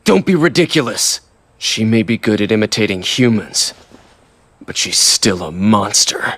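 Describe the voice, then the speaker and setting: An actor playing a gruff, cold and cynical young male soldier in a video game. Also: lowish voice, sing-song, measured pace, a bit bright, slight background noise. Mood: cold, dismissive. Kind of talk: English video game voice acting.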